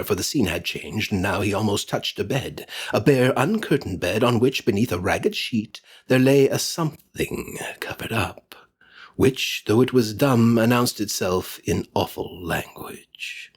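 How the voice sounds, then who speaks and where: A man narrating a Victorian story as an audiobook reader, theatrical and solemn, with deliberate phrasing. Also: lowish voice, slightly rough. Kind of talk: dramatic scripted narration of Victorian fiction.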